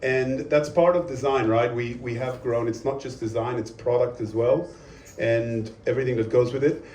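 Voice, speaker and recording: A man's voice: medium pitch, steady tone, moderate pace, darkish tone. A man, the meetup organizer, gives an upbeat, informal introduction of the next speaker. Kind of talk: live event introduction. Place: event hall. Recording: echoey hall, distant mic, faint hum.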